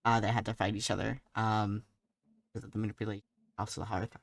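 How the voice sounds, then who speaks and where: A woman's voice: a bass voice, little pitch movement, quick pace, vocal fry, dry recording. A woman streaming a game, chatting to her audience in a rambling, slangy, giggly way as she reacts. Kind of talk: casual live reaction commentary to chat.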